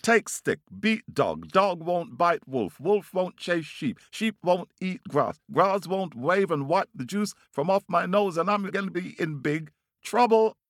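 A desperate storybook rooster, acted out by a man, calls out high above a normal speaking voice. He is vain and pleading, with loud sing-song demands that swoop up and down in a dry, close sound. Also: expressive, a little slow, few pauses, no room sound, exaggerated voice. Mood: frantic, pleading. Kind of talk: children's storytelling character voice.

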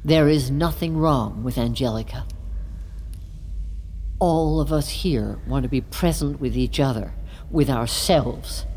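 An actress playing a solemn group leader in an audio drama, speaking in a measured, deliberate and ceremonial way. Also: female voice, very deep voice, a little mellow, a little hiss or hum. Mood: earnest, grave. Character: solemn woman leading an anti-technology support gathering.

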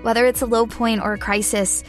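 A woman's voice: on the high side, flat delivery, a little fast. Woman narrating over guitar music. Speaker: public service ad narrator. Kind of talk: scripted heartfelt ad narration. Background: plucked guitar music.

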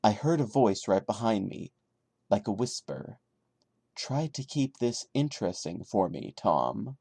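A man voicing the horrified young male narrator of a creepypasta, reading it dramatically in a grave, deliberate way. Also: lowish voice, sing-song, vocal fry, mellow, slightly rough, dry recording, studio quality, full character voice.

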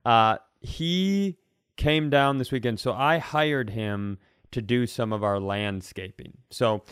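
A man hosting a comedy podcast, telling a story in a casual, comic way with an animated, stop-and-start delivery. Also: medium pitch, slow, clear, resonant tone, no echo, clean studio sound.

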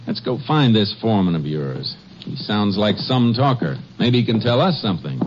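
An actor playing a calm, firm Texas Ranger questioning suspects in a 1950s radio drama. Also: male voice, lowish voice, normal speed. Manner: steady, questioning. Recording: muffled old radio broadcast.

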